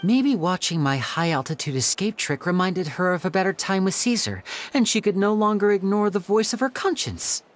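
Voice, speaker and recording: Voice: mid-range voice, sing-song, close to an everyday voice. An actor playing a polite, evasive young male stage magician in a video game. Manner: courteous, measured.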